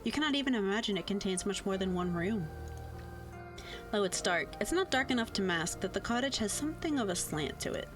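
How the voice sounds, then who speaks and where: A woman reading as a visual novel's narrator in a measured, literary, wry and gently ominous storytelling manner. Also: mid-range voice, animated, natural voice. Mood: wry, foreboding. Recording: music under voice.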